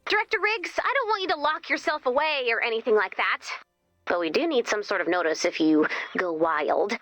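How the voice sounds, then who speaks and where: A woman's voice: a little high, lively intonation, fairly quick, fairly bright tone, no echo, lo-fi sound, faint music behind. A woman voicing a quirky, chirpy and playful commander character in a video game. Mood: upbeat, wry.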